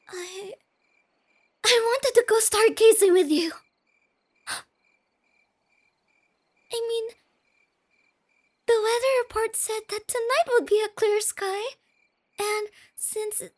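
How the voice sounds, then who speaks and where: A bashful, nerdy young girlfriend in an ASMR roleplay. Her soft, girlish voice sits extremely high and moves slowly, hesitating and stammering as she gets flustered. It is recorded close and very dry, with night insects chirping behind. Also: no echo.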